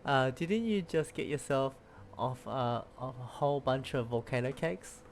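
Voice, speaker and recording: A man's voice: mid-range voice, measured pace, a little hiss or hum. A man giving casual let's play commentary as he streams a video game.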